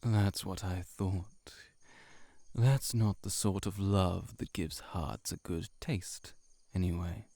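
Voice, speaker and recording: A man voicing a cynical, seductive heart-eating shapeshifter monster in an intimate audio roleplay, sardonic and measured, sometimes near a whisper. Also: low voice, steady tone, creaky, mellow, dry recording, exaggerated voice.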